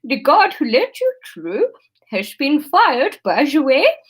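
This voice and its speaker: A woman voicing a stern, suspicious female interrogator in a story, with an attempted Dutch accent and halting, deliberate delivery. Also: high voice, huge pitch swings, exaggerated voice. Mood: stern accusing.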